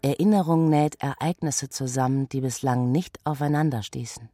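A woman performing a pensive speaker in a poetic radio play, husky and breathy, with a hushed, measured delivery. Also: rumbling low, no room sound, studio quality, faint music behind.

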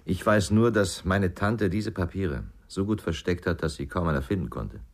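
A man's voice: low-pitched, normal speed. An actor playing a guarded, stubborn ex-policeman with a curt way of talking in a German radio crime drama. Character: terse, stubborn ex-policeman, guarded about his past.

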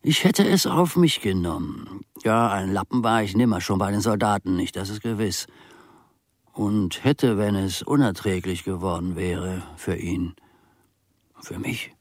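An actor playing a proud, bitter old manservant in a theatrical radio monologue, pompous and muttering, with a husky voice that drops into whispers. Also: male voice, low-pitched, unhurried, no room sound, clean studio sound. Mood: bitter, brooding. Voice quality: husky, breathy, dropping into whispers. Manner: pompous, muttering, with sudden outbursts and old-fashioned phrasing. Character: aggrieved, proud old manservant bitterly settling accounts with his master.

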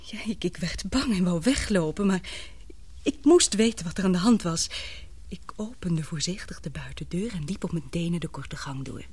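Breathy, emotional young woman in radio drama. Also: on the low side, lively intonation, normal speed, a little mellow. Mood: tense, confiding. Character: Penny, anxious, brave young woman, tender sweetheart.

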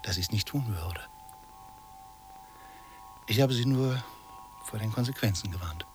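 A calm male painter in a radio drama, played by an actor. His voice is low and his delivery measured, reserved and quietly teasing, with music underneath. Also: natural voice. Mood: calm, enigmatic. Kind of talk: German radio play acting.

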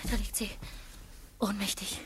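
An actress in a German radio play playing an anxious wife with a guilty secret, husky, agitated, defensive and reproachful. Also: female voice, a little slow, fairly bright tone, slight background noise, close to an everyday voice. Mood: hushed alarm. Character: anxious, accusing wife hiding a murderous secret.

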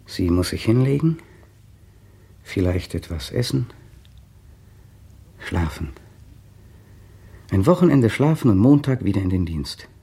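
An actor playing a brooding, cynical poet in a radio drama monologue, talking to himself haltingly and slipping into declamation. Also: male voice, sits low, gravelly, dark tone, husky, heavily acted. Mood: muttering, resigned. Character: brooding, cynical man, a poet, waiting restlessly at home. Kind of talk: 1960 German radio drama interior monologue.

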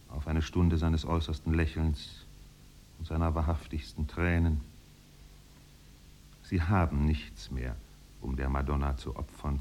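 An actor narrating a 1950s German radio play as a solemn, contemplative storyteller with measured, poetic delivery. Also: male voice, very deep voice, darkish tone. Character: solemn, contemplative male storyteller of island exiles. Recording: faintly noisy 1950s radio recording.

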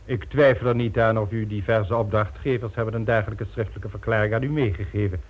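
A man playing a suave, businesslike gentleman ringleader who speaks formally, in a Dutch radio drama. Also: lowish voice, fairly even intonation, fast, breathy edge. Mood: composed. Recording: dull old radio recording.

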